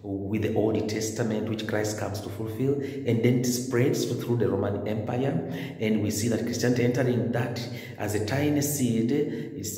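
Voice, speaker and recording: A middle-aged male priest preaches with earnest, repeated phrases, filming himself on a phone held at arm's length. His voice is dark and mellow. The stone chapel around him rings with a long, echoing reverb. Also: on the low side, moderate pace. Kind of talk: video homily. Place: stone-walled chapel indoors.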